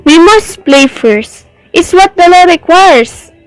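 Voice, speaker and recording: A woman's voice: very high-pitched, animated, slow, no room sound, exaggerated voice, poor audio. A woman playing Life personified in a radio drama, knowing, teasing and coolly persuasive. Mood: firm. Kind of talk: theatrical radio drama acting.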